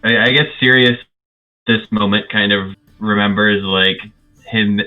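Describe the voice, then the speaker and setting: A man's voice: on the low side, steady tone, unhurried. A man playing a tabletop game, tentatively narrating his character in casual talk. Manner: hesitant, tentative. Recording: phone-like thin audio, faint music. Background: faint music.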